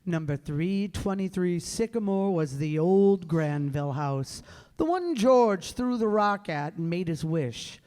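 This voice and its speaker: Man playing a high-voiced, deliberate senior angel. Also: animated, darkish tone, clean tone. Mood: wistful, recounting. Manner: measured, deliberate storytelling. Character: senior heavenly angel, patient storyteller briefing his underling. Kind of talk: radio play narration. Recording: echoey hall, long reverb.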